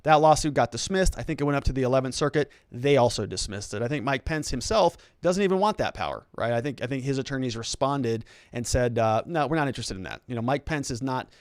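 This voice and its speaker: A man's voice: medium pitch, fast, hardly any pauses, dry recording, clean studio sound. A man with an American accent giving conversational political commentary as a host, explaining points and posing rhetorical questions.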